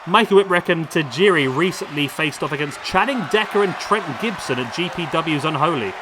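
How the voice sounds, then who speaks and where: A man commentating on a wrestling video game, losing his voice, chatty and excitable as he calls the action. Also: fairly high pitch, fairly quick. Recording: loud game crowd noise behind. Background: video game crowd and arena sound.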